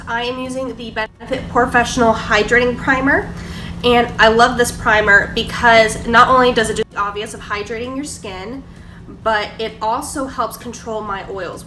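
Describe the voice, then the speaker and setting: Stuffy-nosed woman chatting in an echoey kitchen. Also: fairly high pitch. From Georgia, US. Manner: chatty, filler-heavy, explaining products. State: stuffy from a cold. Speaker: beauty vlogger. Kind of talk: casual get-ready-with-me chat. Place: kitchen table at home. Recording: echoey room, distant mic, background noise.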